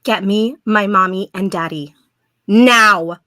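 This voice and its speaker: Woman as a bright-voiced, menacing little girl. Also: mid-range voice, slow pace, dry recording, clean studio sound. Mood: demanding. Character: unnervingly calm, menacing little mutant girl demanding her parents. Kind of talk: live audio drama read.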